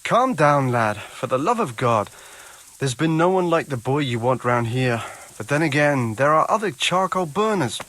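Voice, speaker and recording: An actor playing an unhelpful, pious medieval charcoal burner in a video game, with a dry, dismissive manner. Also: male voice, mid-range voice, very animated, normal speed, fairly bright tone, no echo. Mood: cautioning. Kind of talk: video game cutscene dialogue.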